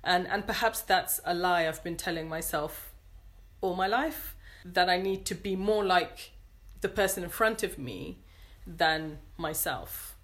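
A woman with an English accent, speaking earnestly and thoughtfully as an interview guest, pausing to search for words. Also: fairly low pitch, fairly bright tone. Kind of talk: reflective interview conversation.

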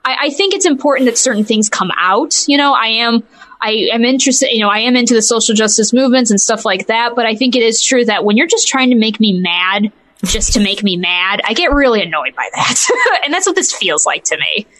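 Higher-voiced woman, hesitant podcast chat. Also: lively intonation, a little fast, fairly bright tone. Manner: hesitant, circling back and qualifying herself. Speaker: podcast co-host. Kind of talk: casual opinion chat on a gaming podcast.

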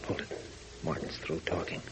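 An actor playing a calm, decisive young pilot hero in a 1940s radio drama, giving firm, clipped orders. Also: male voice, moderate pace, close to an everyday voice. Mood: urgent. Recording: hissy old radio broadcast.

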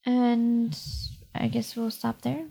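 A woman's voice: fairly high pitch, monotone, slow, creaky, dark tone. A woman acting as game master, narrating a tabletop game in a casual way.

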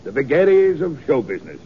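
A man's voice: medium pitch, huge pitch swings, moderate pace. Courtly, florid showman. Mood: genial, courtly. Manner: formal, florid, orotund. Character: courtly, grandiloquent showman gentleman, polished and genial. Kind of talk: 1950s radio western acting. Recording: dull, faintly noisy 1950s radio recording.

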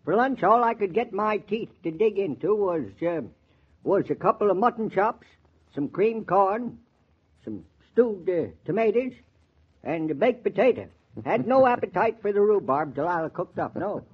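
A man's voice: high-pitched, expressive, normal speed, breathy edge. An actor playing a cantankerous, morbid man in his eighties with a rural American accent, folksy and grumbling in 1940s radio drama style. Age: 80s. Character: cantankerous, morbid old rustic man of eighty-six, stubborn neighbor. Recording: dull, lo-fi 1940s radio recording.

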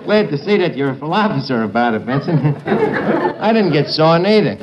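Cocky New York tavern manager, lively. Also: male voice, a little high, normal speed, lo-fi sound, over a noisy crowd. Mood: chatty, smug. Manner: long-winded, pompous, mock-genteel malapropisms. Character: uneducated, cocky tavern manager mangling big words. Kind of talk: 1950s radio comedy acting. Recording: noisy old radio broadcast, loud studio audience.

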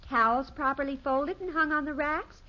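An actress playing a self-assured, stubborn teenage daughter in a 1950s radio sitcom, with an American accent and a prim, breezy, insistent delivery. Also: female voice, high voice, normal speed, lightly acted. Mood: breezily innocent. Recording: muffled old radio recording.

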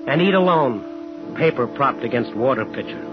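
An actor plays a defiant small-town man in a 1950s radio thriller. His delivery is clipped, hard-boiled and deliberate. The old broadcast is muffled, with music underneath. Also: medium pitch, lively intonation, natural voice. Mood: bleak, brooding.

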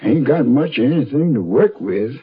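A folksy old American tattoo hawker in a radio drama, with slangy, drawling patter. His pitch jumps around in a very animated way, and the dated radio recording is dull and boxy. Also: normal speed, husky, soft background music. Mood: wary, gruff. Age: older. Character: old leathery tattoo parlor man, folksy, wily hawker. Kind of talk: 1970s radio drama acting. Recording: dull, band-limited 1970s radio recording.

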